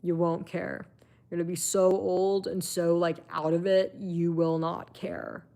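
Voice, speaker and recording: A woman's voice: lowish voice, level tone, measured pace, vocal fry, dark tone. A woman in her twenties giving a blunt, chatty live-stream monologue, full of fillers and swearing. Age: 20s.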